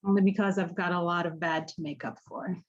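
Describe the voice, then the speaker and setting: A woman acting in a radio drama as an earnest, remorseful prison visitor with a gentle, hesitant way of talking. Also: fairly low pitch, fairly even intonation, a little fast, muffled recording, natural voice. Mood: earnest, contrite.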